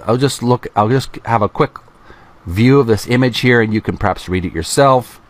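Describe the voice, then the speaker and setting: A middle-aged man hosting a video, reading aloud from a book with casual commentary in a halting, deliberate way into a close microphone. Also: medium pitch. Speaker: video host.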